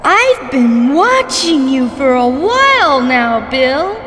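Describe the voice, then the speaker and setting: Squeaky, chirpy dead cat. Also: female voice, very animated, takes it slowly, a bit bright, exaggerated voice. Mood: eager. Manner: sing-song, cheerful, melodramatic. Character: chirpy talking dead cat, eager crime-fighting sidekick. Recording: long reverb, noisy ambience.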